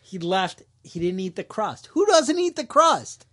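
A man co-hosting a podcast, telling a story in casual chat with a wisecracking, lively manner and quick put-on voices. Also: high voice. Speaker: podcast co-host.

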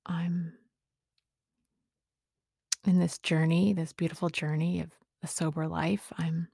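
A woman in her late forties speaks in a low, fairly flat voice with a gravelly fry at the edges. She talks reflectively about personal things, pausing often between short phrases. The sound is close and dry. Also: no echo. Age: late 40s. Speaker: podcast host speaking on sobriety.

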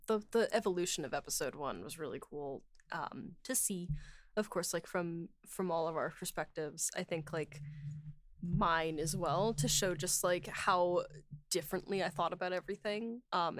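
A woman's voice: on the low side, moderate pace, no room sound. A woman hosting a podcast in casual, unscripted chat, phrasing things informally and a little hesitantly. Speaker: podcast host and series writer.

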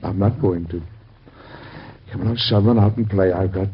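An actor playing a devout, grieving father and president, husky and gravelly, in a solemn, measured way of talking, from an old radio drama. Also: deep voice, steady tone, muffled recording, slight background noise, natural voice. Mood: gentle. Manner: solemn, measured, gently reassuring.

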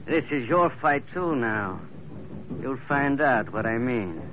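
An actor playing a ruthless, scheming pearl poacher in 1940s radio acting style, talking low and menacing. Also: male voice, lively intonation, takes it slowly. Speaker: pearler Labrado. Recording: dull old radio broadcast, faint hiss.

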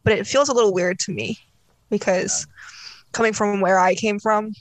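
A woman's voice: medium pitch, fairly even intonation, normal speed, dry recording, rough recording. A woman from South Korea chatting casually and telling personal stories. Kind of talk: casual chat.

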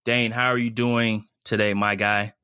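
A man's voice: fairly low pitch, level tone, a little slow, bright, forceful tone, smooth, no echo, clean studio sound. A man co-hosting a casual sports podcast, talking in an easygoing, friendly way. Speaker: podcast co-host.

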